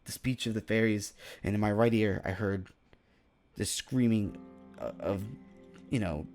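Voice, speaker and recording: A man playing a confident young demon mage in an improvised Minecraft fantasy roleplay, speaking in a halting, conversational way and often checking for agreement. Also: lowish voice, darkish tone. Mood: brooding. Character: confident young male demon mage, casual, secretive, determined. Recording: voice over background music.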